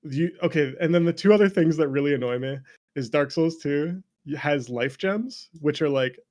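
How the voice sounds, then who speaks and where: A man's voice: fairly high pitch, moderate pace, a little mellow. A man in a casual, opinionated chat about video games, rambling and swearing freely as an interview guest. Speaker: interview guest, game developer.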